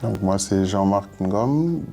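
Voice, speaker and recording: A man's voice: on the low side, expressive, takes it slowly, gravelly, warm tone, faint music behind. A man from Senegal who works at a college, answering interview questions in a thoughtful, self-deprecating way. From Senegal, West Africa. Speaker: college staff member.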